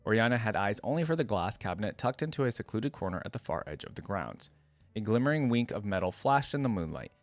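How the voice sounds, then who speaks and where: A man's voice: on the low side, sing-song, quick pace, no echo. A man hosting a reaction video, reading a story aloud and commenting on it casually.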